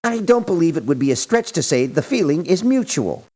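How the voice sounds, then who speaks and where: A stuffy polite game merc, wordy n disapproving, highish voice that moves around a lot. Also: male voice, very animated, brisk, dark tone. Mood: stiff disapproval. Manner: formal, wordy, precise, reproachful. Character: prim, principled, formal male mercenary, politely disapproving. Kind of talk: video game character voice lines.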